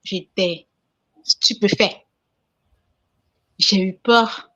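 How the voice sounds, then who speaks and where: A woman's voice: medium pitch, steady tone, extremely slow, bright, forceful tone. A woman from Cameroon, calling in to a spiritual talk show to give an emotional testimony, often repeating herself. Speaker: caller giving testimony.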